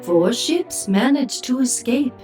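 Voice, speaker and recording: Woman acting cold imperious woman, slow delivery, higher voice, audio drama, faint music behind. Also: lively intonation. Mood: cold, commanding.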